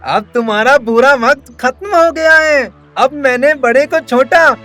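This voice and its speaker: An actor plays an excitable little doctor of the jungle who invents potions in a cartoon. The voice is high and eager, exclaiming and reassuring, with music behind it. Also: a little slow. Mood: triumphant. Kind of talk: animated children's story dialogue. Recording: music under the voice.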